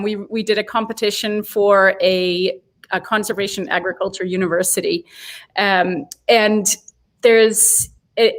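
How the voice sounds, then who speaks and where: A woman with a Boston accent speaks as a guest over a video call. She talks in a loose, chatty way, full of "um"s and restarts, and laughs easily. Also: medium pitch. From Boston, USA. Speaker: guest, brewery owner.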